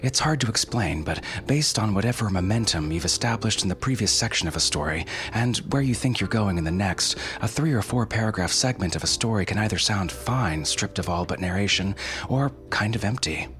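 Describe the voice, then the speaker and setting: A man's voice: fairly low pitch, expressive, fairly quick, fairly bright tone. A man hosting a podcast, reading out questions and giving scripted, explanatory answers. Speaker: podcast host.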